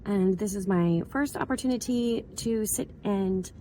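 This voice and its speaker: A woman with a hoarse voice from a cold, chatting in a casual, rambling vlog as she circles back to restate things. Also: medium pitch, measured pace, dark tone, a little hiss or hum. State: hoarse from a cold, slight sore throat. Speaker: vlogger, school staff member.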